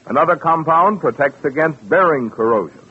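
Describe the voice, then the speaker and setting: A man with a raspy voice giving an emphatic, deliberate 1940s radio advertising pitch. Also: animated. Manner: emphatic, deliberate salesman delivery. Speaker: commercial announcer. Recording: tinny, phone-like vintage radio recording.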